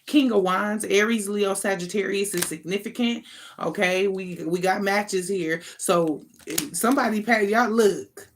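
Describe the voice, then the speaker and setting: A woman's voice: medium pitch, a little slow, a little hiss or hum. An American woman giving an informal, improvised tarot reading as an online host, talking in an emphatic, slangy, conversational way with casual swearing.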